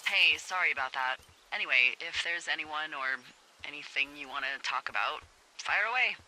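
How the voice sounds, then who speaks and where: An actress playing a wry, teasing fire lookout boss in a video game, talking conversationally over a walkie-talkie. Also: female voice, deep voice, moderate pace, bright, forceful tone, slight background noise, poor audio. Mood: evasive. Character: adult woman fire lookout, wry, teasing, candid, bossy. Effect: walkie-talkie radio. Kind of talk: naturalistic video game dialogue.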